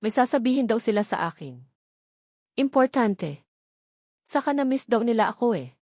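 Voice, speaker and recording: A woman's voice: a little high, big pitch swings, normal speed, darkish tone, no echo, clean studio sound. Woman reading as hesitant young bride-to-be, dryly recorded. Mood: forced cheer. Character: young bride-to-be hiding hurt behind forced cheer.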